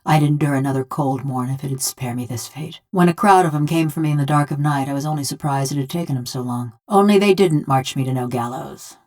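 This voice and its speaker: Game role: bronze construct with a village woman's soul. Low female voice, folksy rustic drawl, wry and bent on revenge. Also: a little fast, hardly any pauses, a little mellow. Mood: somber, reflective. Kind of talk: video game character dialogue.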